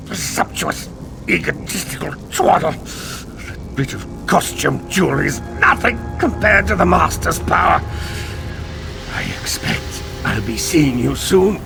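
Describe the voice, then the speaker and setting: A vengeful fantasy game villain, with a heavily gravelled, creaking male voice. He sneers and menaces slowly, with wide swings of pitch, over prominent music. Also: heavily acted. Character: arrogant, vengeful male villain, a would-be god. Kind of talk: theatrical fantasy game acting.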